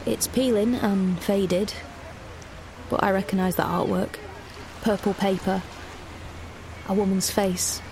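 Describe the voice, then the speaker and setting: A woman's voice: mid-range voice, a little mellow, a little hiss or hum, natural voice. A woman playing a wary, searching young woman who narrates her own story in an audio drama. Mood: reflective, uneasy.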